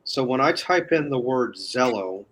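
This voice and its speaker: A man speaking as a guest in a casual chat, explaining step by step in a halting way. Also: medium pitch, lively intonation, a bit bright, low-quality recording. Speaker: guest, online video creator.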